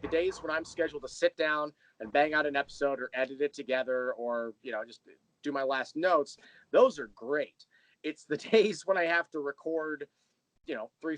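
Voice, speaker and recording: A man's voice: mid-range voice, a bit bright, no echo, low-quality recording. A man speaking casually as an interview guest, explaining his creative work.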